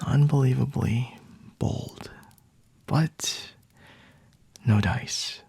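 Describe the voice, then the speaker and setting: A man performs a flirty, condescending male vampire holding a captive, in intimate ASMR style. The voice is very breathy and gravelly, slipping often into whispers. He speaks in refined, teasing phrases with slight room echo around him. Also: mid-range voice, very slow, a little mellow, full character voice. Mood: mocking, amused.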